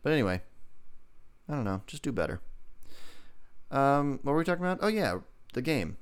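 A man's voice: medium pitch, big pitch swings, normal speed, clear, resonant tone. A man co-hosting a podcast in casual banter, with a playful, teasing manner that slips into mock quotes and sing-song. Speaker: podcast co-host.

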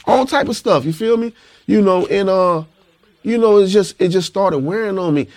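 Man, rapper guest, high-pitched voice, reflective, laid-back. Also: expressive, moderate pace, a little mellow, slight background noise. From Panama City, Florida. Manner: laid-back, reflective, repeats "you know what I'm saying". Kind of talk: casual interview chat.

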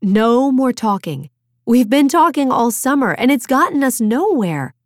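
A woman voicing a practical, gentle but firm teenage girl in an audiobook, frank and decisive. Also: high-pitched, very animated, a little slow, no echo, studio quality, pitched above the performer's own voice. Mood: exasperated. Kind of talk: audiobook character dialogue.

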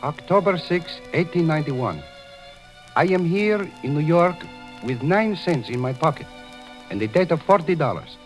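Man playing a proud Syrian immigrant, Arabic accent, solemn declaiming, lively high voice, light music. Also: moderate pace, lightly acted, low-quality recording. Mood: wondering. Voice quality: heavy put-on accent, solemn declamatory delivery. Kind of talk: 1930s radio drama acting.

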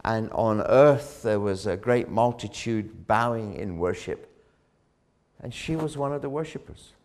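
A man preaches with a dramatic storytelling delivery, swelling louder on key phrases before sinking back down. His voice sits low and he takes his time, letting words hang. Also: measured pace. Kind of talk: sermon storytelling.

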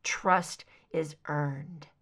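A woman's voice: low voice, fairly even intonation, extremely slow, a bit bright, pitched below the performer's own voice, heavily acted. A woman reading an angry, protective male agent in an audiobook, in a terse, confrontational, emotional way. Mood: hurt, intense. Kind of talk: dramatic audiobook character dialogue.

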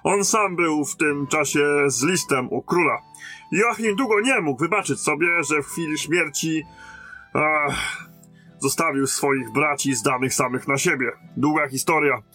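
An actor playing a weary lieutenant in command of a besieged garrison in a fantasy audio drama. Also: male voice, a little high, unhurried, pushed and bright, faint music behind. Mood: regretful, explaining.

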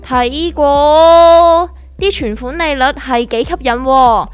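A woman's voice: high-pitched, slow pace, dry recording, faint music behind. A woman with a Hong Kong accent playing a cheeky, curious novice investor, exclamatory and teasing, in a comic radio drama. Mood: curious, sceptical.

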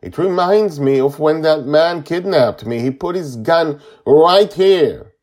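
Man acting out a high, pleading scared little boy. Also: sing-song, fast, warm tone. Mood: tearful, afraid. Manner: pleading, repetitive, emphatic. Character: frightened, traumatized young boy, pleading and stubborn.

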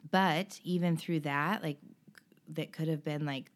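Low-voiced woman telling her story earnestly. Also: steady tone, measured pace. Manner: earnest and emotional, many ums and restarts. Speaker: interview guest. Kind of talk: candid personal interview.

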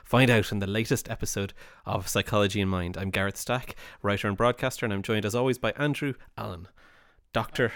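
A man's voice: fairly low pitch, fairly even intonation, a little fast, a bit bright, no room sound, studio quality. A man hosting a podcast, articulate and playful in his introductions. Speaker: host.

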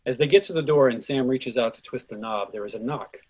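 Man, mid-pitched, slightly rough husky tone, scripted play narration, stage directions. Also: fairly quick, darkish tone.

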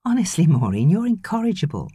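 A British woman voicing a brisk, fussing young mother in an audiobook. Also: fairly low pitch, sing-song, warm tone, slightly rough, dry recording, pitched above the performer's own voice, full character voice. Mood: brisk, instructing. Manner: brisk, instructing.

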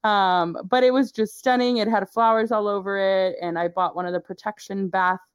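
A woman's voice: mid-range voice, steady tone, normal speed, clean tone, dry recording, clean studio sound. A woman hosting an interview from home, affirming and encouraging her guest. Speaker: podcast host. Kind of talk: casual interview chat. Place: home booth.

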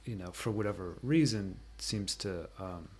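A man speaking as an interview guest, giving measured, opinionated and analytical commentary in conversation. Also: low-pitched, a little mellow.

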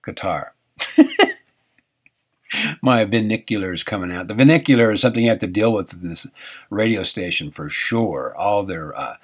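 A man with a fairly low voice hosts a live radio show and chats off the cuff. He stops and restarts, fixes his own slips, and breaks into small chuckles as he goes. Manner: halting, self-correcting, chuckles often. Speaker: radio host. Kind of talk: rambling live radio chat.

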